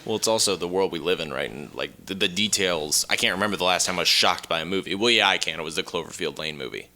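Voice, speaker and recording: A man's voice: low-pitched, quick pace, pushed and bright. A man co-hosting a podcast, explaining his views on films casually with hedged, loose phrasing. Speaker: podcast co-host. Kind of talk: casual film discussion.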